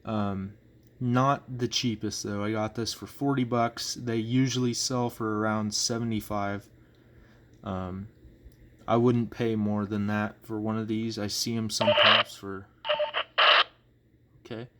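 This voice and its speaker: Lowish male voice, slow pace, casual hobby review, rambling, hesitant, phone recording. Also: flat delivery, a bit bright. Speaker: hobbyist collector presenting items. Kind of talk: casual show-and-tell review. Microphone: phone, handheld or propped nearby.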